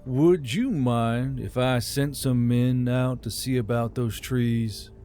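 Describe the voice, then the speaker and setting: A confident army commander, read by a male narrator, plain-spoken and easygoing. He talks in a mid-pitched, folksy way, as in a fantasy audiobook. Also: steady tone, takes it slowly, dark tone, faint music behind, close to an everyday voice. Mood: businesslike.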